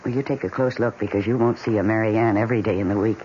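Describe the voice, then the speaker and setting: An actress playing a lonely, fragile housewife who talks to her plants, soft and gentle, in a 1970s radio drama. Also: very deep voice, fairly even intonation, very fast. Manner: soft, gentle, turns pleading and tearful. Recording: dull 1970s radio broadcast with faint hiss.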